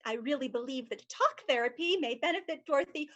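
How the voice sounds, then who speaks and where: A woman's voice: sits high, lively intonation, fairly quick, a bit bright. An actress playing a concerned female therapist who pleads tentatively. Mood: pleading.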